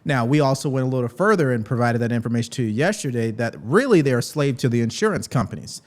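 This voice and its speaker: A man's voice: mid-range voice, expressive, fast talker. An American man speaking as the host of a political commentary show, addressing viewers directly.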